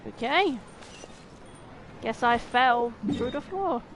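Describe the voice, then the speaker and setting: A woman gives casual game commentary in a slightly high voice. She talks slowly, with playful, wry asides at her own expense, and there is clear noise behind her. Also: animated, fairly bright tone. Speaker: game streamer.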